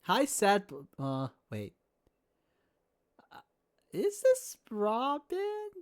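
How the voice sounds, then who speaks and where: A woman's voice: a little high, wildly swooping pitch, extremely slow. A woman live streamer chatting casually with her viewers in a playful, affectionate way. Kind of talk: casual livestream chat with viewers.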